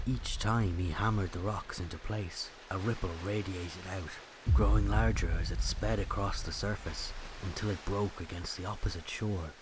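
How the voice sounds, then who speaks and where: A man's voice: low voice, fairly even intonation, normal speed, noisy background. A man giving scripted storytelling narration of a mythic legend.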